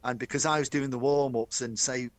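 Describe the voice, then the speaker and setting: Middle-aged Mancunian man, chatty storyteller, mid-pitched, dry close recording. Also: steady tone, a little fast, no room sound. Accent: Manchester. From Manchester, England. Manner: chatty, enthusiastic, addresses host by name. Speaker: guest, stadium announcer. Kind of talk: storytelling interview.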